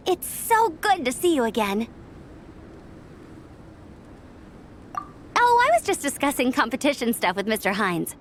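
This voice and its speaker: A woman's voice: high-pitched, sing-song, moderate pace, slight background noise. A woman voicing a perky, friendly young female knight scout in a video game, bubbly, chatty and earnest. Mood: delighted.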